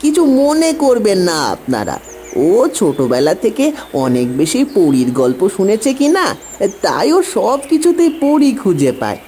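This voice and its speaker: A woman voicing a chatty child among friends in a fairy-tale cartoon, animated and explanatory. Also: medium pitch, normal speed, slight background noise. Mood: apologetic teasing. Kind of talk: Bengali fairy-tale cartoon dub acting.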